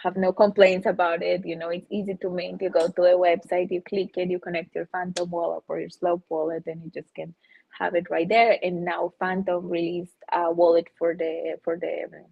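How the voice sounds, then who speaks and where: A woman's voice: fairly low pitch, level tone, normal speed, gravelly, husky. A woman from Russia who has also lived in Colombia, chatting loosely and enthusiastically as an interview guest on dull, lo-fi audio. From born in Russia, lived in Colombia. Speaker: NFT artist, interview guest. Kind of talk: casual chat.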